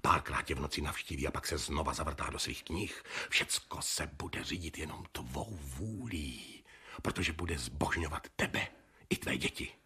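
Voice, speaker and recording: An actor playing a stern, scheming older noble father in a radio drama, coldly persuasive and often sinking to a hushed whisper. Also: heavily acted. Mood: persuading. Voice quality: very husky, deep. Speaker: father of the bride.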